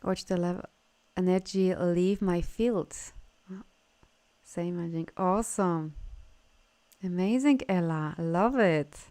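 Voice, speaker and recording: Effusive woman, smooth voice. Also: lowish voice, takes it slowly, warm tone. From Poland. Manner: effusive, gushing praise and frequent laughter. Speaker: energy healer hosting a live session. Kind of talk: casual spiritual live stream.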